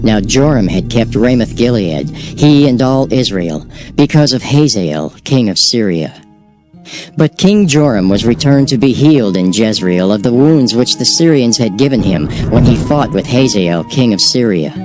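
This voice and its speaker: Male scripture narrator, lively pitch, loud music bed. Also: moderate pace. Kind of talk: dramatized scripture reading.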